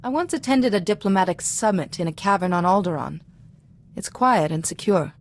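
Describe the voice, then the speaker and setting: A woman playing a calm, compassionate Jedi diplomat in a video game, with a measured, formal, reasoning manner. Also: lowish voice. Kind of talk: video game dramatic voice acting.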